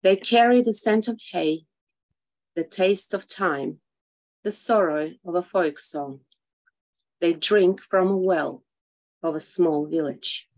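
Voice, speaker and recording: Slow-speaking woman poet. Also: on the low side, a little mellow, rough recording. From Russia. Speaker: poet at an open mic. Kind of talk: poetry reading with a short spoken introduction. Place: small indoor room.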